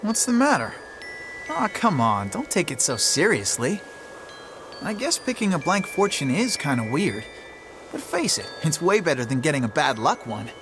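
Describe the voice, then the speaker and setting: Brash young thief, high voice, bouncy swinging pitch, teasing, game cutscene. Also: male voice, normal speed, background noise. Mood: reassuring. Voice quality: lively, teasing. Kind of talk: video game dub acting.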